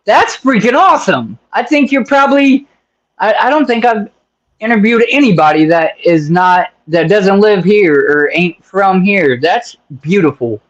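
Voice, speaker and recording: A man's voice: sits high, lively intonation, measured pace, dull audio. A man hosting a casual interview, joking around and rambling with lots of fillers as he asks his questions. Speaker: interview host.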